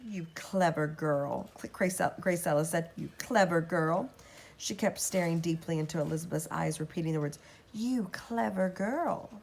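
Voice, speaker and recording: A woman voicing a vengeful old spirit woman who mocks and booms with hatred in an audiobook. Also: level tone, fast, creaky, few pauses, a little mellow, slight background noise. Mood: lulling. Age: older. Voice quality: menacing, booming, mocking. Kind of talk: audiobook character voice.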